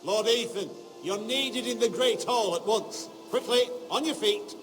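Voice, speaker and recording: Stern, commanding lord's retainer. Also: male voice, high-pitched, unhurried, over music, rough recording. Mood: urgent. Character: stern, urgent, hard-line male retainer to young lord. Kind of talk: dramatic video game acting.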